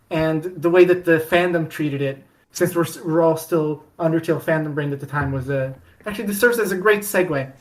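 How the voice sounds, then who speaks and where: Rambling, lowish woman in casual chat. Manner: rambling, many restarts and fillers. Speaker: podcast guest.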